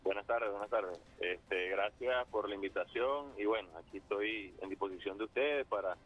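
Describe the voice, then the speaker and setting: A man's voice: on the low side, moderate pace. Hesitant Venezuelan man on phone. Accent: Venezuelan, Zulia. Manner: hesitant, full of fillers. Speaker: guest muralist. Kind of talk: phone interview. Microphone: phone line. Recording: muffled phone line.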